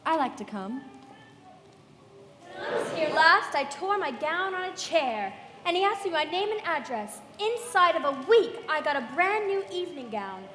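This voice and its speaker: An actress playing a gossipy socialite in a radio play, light, sociable and knowing. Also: female voice, very high-pitched, very animated, moderate pace, bright, forceful tone, heavily acted. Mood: breezy gossip. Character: breezy, gossipy socialite woman at a party. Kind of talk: live radio play acting. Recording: reverberant live hall with noise.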